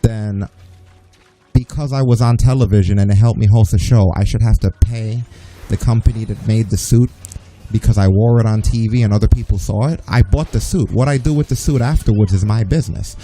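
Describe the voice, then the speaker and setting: Podcast host, young adult man, very dark mellow tone, emphatic and opinionated debater. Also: fairly low pitch, a little fast, soft background music. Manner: emphatic, opinionated, argues with rising voice. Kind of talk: heated casual podcast chat.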